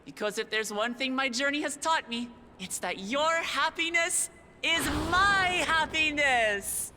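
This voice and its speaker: A woman's voice: high-pitched, lively intonation, measured pace, background noise. A woman playing an earnest, idealistic young female heir to a throne in a video game cutscene, speaking in heartfelt speeches. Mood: earnest, hopeful.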